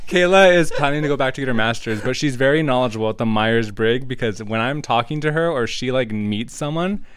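A man's voice: medium pitch, brisk, smooth. A man hosting a casual podcast, bantering and swearing with his co-host and guest on his own microphone. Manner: banters, swears, laughs at himself.

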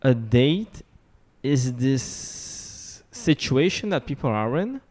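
A young man in his twenties, from Romania, talks casually as a guest in an interview. He muses out loud, pausing and restarting as he hunts for the right word, in a mid-pitched voice. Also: big pitch swings. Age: 20s. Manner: thoughtful, searching for words with restarts.

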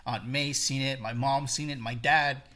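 A podcast co-host chats casually about a movie in a bright, clear voice. Sentences often stall and restart in stammers before the point comes out, with laughter mixed in along the way. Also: medium pitch, steady tone, moderate pace. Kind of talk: casual movie review chat.

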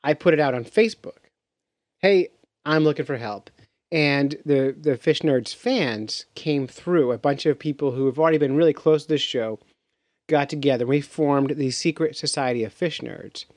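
A man who hosts a podcast, speaking in a measured, deliberate way. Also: fairly high pitch, dry recording. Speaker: podcast host. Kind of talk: casual podcast chat.

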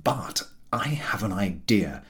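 A man's voice: sits low, big pitch swings, slow, fairly bright tone, full character voice. A man voicing a shrewd, scheming London publishing manager in a storybook, with formal, persuasive, confiding speech. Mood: conspiratorial scheming.

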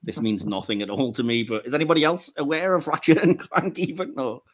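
A man's voice: medium pitch, fairly quick, fairly bright tone. A man hosting a podcast in a casual, chatty panel style. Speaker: podcast host.

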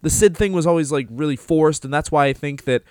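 A man's voice: fairly high pitch, fairly quick, a little mellow, clean tone, dry recording, studio quality. A man co-hosting a casual podcast, rambling loosely with lots of fillers and offhand swearing. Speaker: podcast co-host. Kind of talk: casual wrestling podcast chat.

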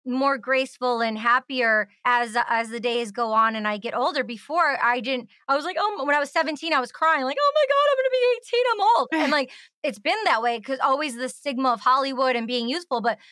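A woman's voice: high voice, brisk, bright, forceful tone, no echo, clean studio sound. An American woman hosting a casual interview with gushing enthusiasm, often saying "like". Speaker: interviewer, host.

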